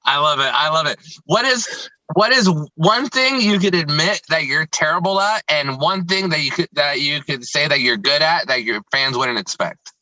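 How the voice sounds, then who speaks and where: A man's voice: a little high, normal speed, pushed and bright, no echo, low-quality recording. A man hosting a music interview in casual, slangy chat, enthusiastic and often repeating himself. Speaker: music show host interviewing.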